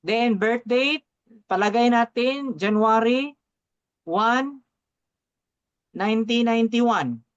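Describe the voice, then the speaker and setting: A man's voice: very high-pitched, slow, long pauses, smooth. A man coaching through a live step-by-step tutorial, often checking understanding with short tags, on dull lo-fi audio. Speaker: coach and presenter.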